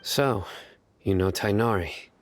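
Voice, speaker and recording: An actor playing a stern, stoic young lawman in a video game, speaking in a terse, measured, deadpan way. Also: on the low side, faint music behind.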